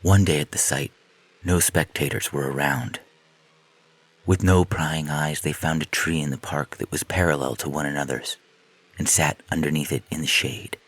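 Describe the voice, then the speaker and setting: Very deep, husky man narrating a story. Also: fairly even intonation, normal speed, a bit bright, no echo. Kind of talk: scripted narration of science fiction short fiction.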